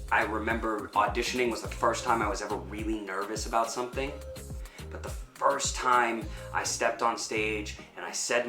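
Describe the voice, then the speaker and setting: A man giving thoughtful, reflective interview answers as a performer. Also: fairly low pitch. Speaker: performer and fight choreographer. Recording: roomy echo with music underneath. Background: background music.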